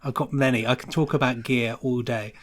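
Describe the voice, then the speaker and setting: A man chatting casually and enthusiastically as a sound designer guest on a podcast, with an animated, chatty manner. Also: mid-range voice, a little fast. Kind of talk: casual enthusiastic interview chat.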